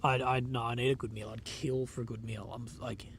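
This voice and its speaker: A man's voice: medium pitch, fairly quick, gravelly, slight background noise. A young man with a blocked-up nose, rambling casually on a live stream and reading out comments, a bit unwell. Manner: relaxed, rambling, lots of fillers and "like". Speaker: livestreamer reporting from a conflict zone. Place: outdoors at night in a war zone.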